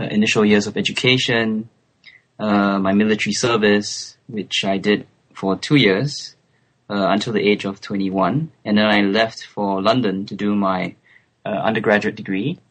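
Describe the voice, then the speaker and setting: A man in his twenties from Singapore, a guest on a remote call, speaking thoughtfully with soft laughs and hesitant fillers. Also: lowish voice, fairly even intonation, moderate pace. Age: 20s. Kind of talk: relaxed interview chat.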